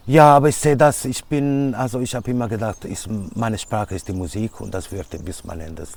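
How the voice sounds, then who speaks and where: Italian man, middle-aged, low voice, broken German, relaxed interview answers. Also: vocal fry. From Italy. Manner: halting, searching for words in German. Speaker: DJ and music producer.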